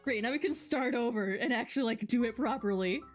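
A woman doing playful, excitable live game commentary and reading dialogue aloud with mock drama. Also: fairly high pitch, fairly quick, soft background music. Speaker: game streamer.

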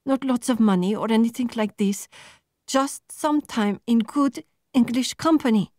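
Young woman, frustrated job-seeker in a novel, higher voice, blunt phrasing, played by a female narrator. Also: a little mellow, no room sound, lightly acted. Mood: angry, pleading. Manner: blunt, halting non-native phrasing.

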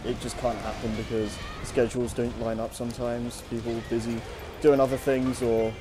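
A man co-hosting a show, chatting casually and unscripted in a hesitant, halting way. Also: medium pitch, level tone, noisy background. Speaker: co-host of a story-reading show. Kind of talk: casual unscripted chat over gameplay.

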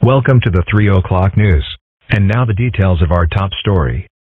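A man voicing a mild, composed young boy fox in an amateur comic dub, with a deadpan delivery. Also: deep voice, moderate pace, no room sound. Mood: formal. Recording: muffled, phone-like narrow sound.

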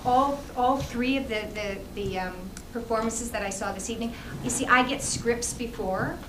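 A woman adjudicator giving halting spoken feedback to a performer after a show, full of fillers and restarts. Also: medium pitch. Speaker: festival adjudicator. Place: dark theatre with audience. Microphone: far room microphone. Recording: echoey theatre, distant mic, noisy.

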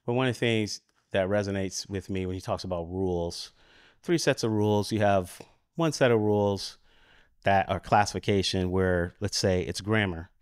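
A man with an American accent giving an informal, explanatory conference talk, halting as he searches for words and often checking in with "right?". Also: sits low, clean tone, no room sound, clean studio sound. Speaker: conference speaker. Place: conference room at a lectern.

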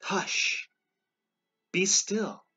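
Storybook holy teacher played by a man, gently chiding and reassuring, with a high-pitched, slightly husky delivery. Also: very animated, measured pace, heavily acted. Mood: hushed command.

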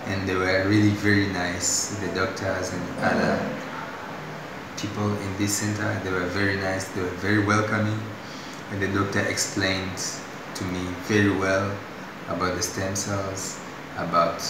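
A young man from Tanzania with a Tanzanian accent, telling his story as a patient in a hospital room, halting and full of restarts. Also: low voice, flat delivery. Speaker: patient giving testimonial. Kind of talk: unscripted spoken testimonial. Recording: very echoey room with audible noise.

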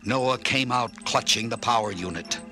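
A man narrating a children's story in an expressive, clearly enunciated read-along style. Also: medium pitch, normal speed, music in the background. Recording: orchestral film score under narration.

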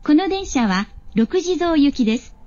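Very high, mellow woman calling station stops. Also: very animated, normal speed. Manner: formal, polite. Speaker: automated train announcer. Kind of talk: scripted station announcement. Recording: dull, muffled announcement recording.

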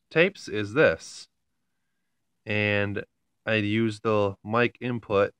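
Guy w a lowish smooth voice, rambling casual demo, pretty dry sound. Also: sharp tone, no room sound. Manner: rambling, informal, mildly amused. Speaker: hobbyist video maker. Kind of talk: casual hands-on demo chat.

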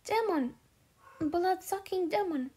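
A young housekeeper girl in a manga dub, acted by a woman, perky and quick to take offence. Her voice is high and mellow, and she takes her time over the words. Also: lively intonation, exaggerated voice. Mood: outraged.

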